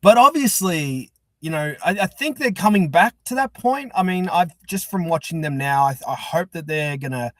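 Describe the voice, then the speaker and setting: Male Australian podcast host, higher-than-average pitch, casual interviewing with stop-start repeated words, very dry recording. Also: animated, dry recording. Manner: halting, repeats words as he goes.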